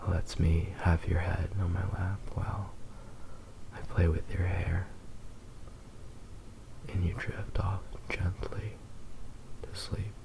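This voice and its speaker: A man voicing a devoted, possessive fox spirit husband in an ASMR roleplay, speaking in a soft, breathy half-whisper with intimate, lingering pauses. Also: low voice, level tone, background noise, heavily acted. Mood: soothing, affectionate.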